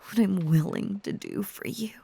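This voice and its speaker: A woman voicing an obsessive, possessive female werewolf lover in an intimate audio roleplay, breathy and often whispering, with a halting, intense, confiding delivery that is tender yet menacing. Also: mid-range voice, wildly swooping pitch, warm tone, exaggerated voice. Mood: yearning, intense.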